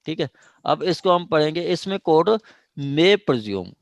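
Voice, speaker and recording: Slow-paced Pakistani man lecturing on law. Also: on the high side, animated, no room sound. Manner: repetitive, explains step by step with frequent check-in questions. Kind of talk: classroom lecture, reading out and explaining legal text.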